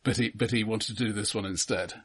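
A man co-hosting a casual film discussion, speaking haltingly and often stammering over repeated words. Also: lowish voice, fairly even intonation, fairly bright tone, breathy edge. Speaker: podcast co-host.

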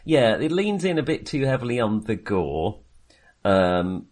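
Male podcast co-host, low voice, casual reviewing style, halting and self-correcting as he thinks aloud. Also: big pitch swings, a little mellow. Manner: hesitant, self-correcting, with frequent repeated starts. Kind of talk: casual film review chat.

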